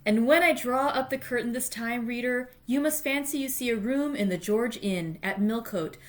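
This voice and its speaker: A woman's voice: fairly high pitch, moderate pace. A woman hosting a read-aloud, moving between informal, self-deprecating chat and reading literary prose. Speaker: library read-aloud host. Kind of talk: chatty introduction, then literary audiobook-style reading.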